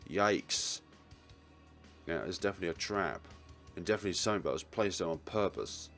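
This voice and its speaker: Male game narrator, lower register, reads story text with small slips, light music underneath. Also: expressive, brisk, vocal fry, fairly bright tone. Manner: stumbles and corrects words while reading. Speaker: let's player. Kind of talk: reading a visual novel aloud.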